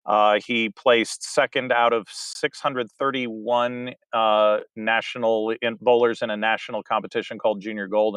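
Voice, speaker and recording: A man's voice: fairly low pitch, a bit bright, clean tone, dry recording. A man in his forties, a theater director, answering interview questions in a chatty, rambling way with many fillers.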